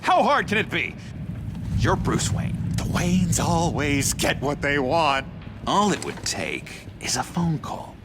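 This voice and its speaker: A gleefully unhinged asylum inmate from a dramatic game, his pitch leaping wildly. He drawls slowly with a creaky edge, sliding from hushed secrets into manic shouts. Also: sing-song, over music, heavily acted. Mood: conspiratorial excitement. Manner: erratic, swinging from hushed confiding to shouted glee. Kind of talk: dramatic video game acting.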